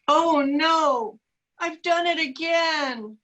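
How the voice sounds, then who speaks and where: A woman's voice: high-pitched, very slow, a bit bright, a little hiss or hum, heavily acted. A woman in a live radio play voicing a clumsy, simple, sweet young boy. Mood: sheepish. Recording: dull lo-fi streamed audio.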